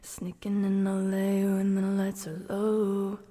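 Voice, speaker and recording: A woman livestreamer casually singing along and making soft, playful chatty asides, sometimes in a whisper. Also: mid-range voice, completely flat delivery, slow pace. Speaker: livestreaming ASMR creator. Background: music playing from a TV.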